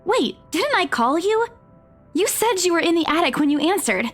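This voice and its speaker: A young woman working as an estate agent in a visual novel. Her voice is very high and hesitant, with an earnest, eager-to-reassure manner. Faint music plays behind her. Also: big pitch swings, moderate pace. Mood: shaken, confused.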